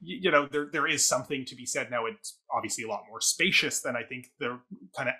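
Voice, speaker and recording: A man's voice: medium pitch, fast, bright, forceful tone, husky. A man co-hosting a casual review, reasoning through his critique with careful qualifications. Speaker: theatre review show co-host. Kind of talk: casual analytical review discussion.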